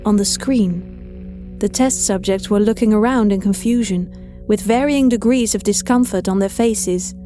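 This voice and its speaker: A woman narrating a scripted horror story in a measured, dramatic storytelling manner. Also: medium pitch, warm tone. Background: faint music.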